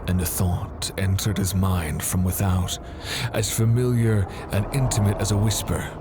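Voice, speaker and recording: A man giving solemn, dramatic scripted narration in a grave, measured manner. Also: low voice, fairly even intonation, noisy background.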